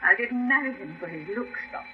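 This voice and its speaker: A woman playing a distraught, sharp-tongued, long-suffering wife in a radio drama, plaintive and dramatic. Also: a little high, lively intonation, fast talker, close to an everyday voice. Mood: tart. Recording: dull, noisy vintage radio recording.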